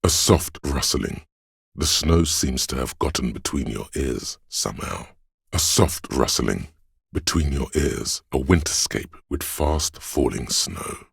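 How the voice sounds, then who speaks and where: An ominous, solemn city spirit narrating a video game in poetic second person. The voice is extremely low and heavily husky, full of gravel. Each phrase unfolds slowly and with a hushed, brooding weight. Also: male voice, animated, no room sound, studio quality, exaggerated voice. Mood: hushed, somber. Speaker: game voice actor.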